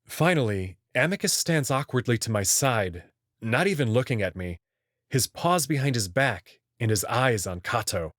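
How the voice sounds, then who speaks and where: A man giving a measured, scripted first-person narration as he reads a visual novel. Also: medium pitch, animated, fairly bright tone, no room sound, clean studio sound. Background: prominent music.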